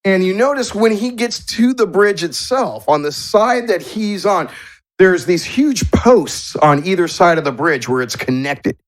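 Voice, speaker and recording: A man narrating a tabletop game as game master, in halting, descriptive storytelling. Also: high-pitched, animated. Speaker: game master and show host.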